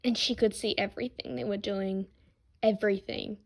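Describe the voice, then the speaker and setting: A woman reads fan fiction aloud in a mid-pitched voice. Her narration is expressive and scripted, leaning on dramatic emphasis with a wry edge. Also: normal speed, gravelly. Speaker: narrator, amateur podfic reader.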